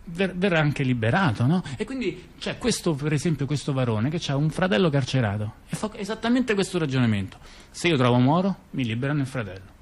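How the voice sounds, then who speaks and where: A man's voice: a little high, sing-song, a little fast. A man spins a rambling tale for a radio theater piece. His talk is chatty and digressive, and he keeps breaking off for asides and fillers. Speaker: theater performer. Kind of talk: theatrical storytelling dialogue on radio.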